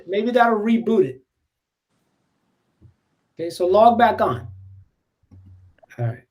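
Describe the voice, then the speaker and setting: High-pitched male host on a video call, casual and upbeat. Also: animated, takes it slowly, darkish tone. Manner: upbeat, joking while fixing tech trouble. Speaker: host of an online community show.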